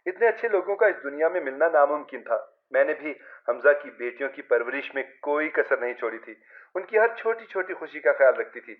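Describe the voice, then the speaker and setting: A man's voice: a little high, animated, a little fast. Male story narrator with narrow, thin sound. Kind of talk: scripted first-person Urdu story narration.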